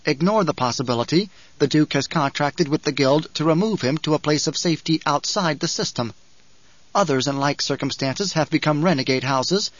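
A man playing a sly, calculating adviser, fairly high-pitched. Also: no echo, highs cut off, lightly acted. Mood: analytical. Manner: precise, measured, smug. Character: coolly calculating male mentat adviser, sly and precise. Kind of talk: audiobook character acting.

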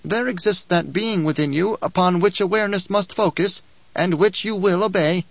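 A shrill, whining little male dwarf, full of spite and mockery, who, as the narrator puts him on, rides high above the reader's own voice. He sneers and coaxes in an audiobook read that sounds dry and dull. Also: moderate pace, gravelly, no room sound. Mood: taunting. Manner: whining, giggling, taunting. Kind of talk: audiobook character reading.

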